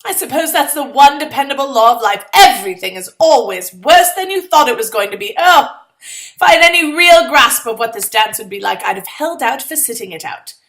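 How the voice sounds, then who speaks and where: Exasperated twenties-era young woman played by a female reader, high and bright, sarcastic, dramatic inner monologue. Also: lively intonation, a little fast. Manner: sarcastic, melodramatic. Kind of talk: dramatic first-person short story reading.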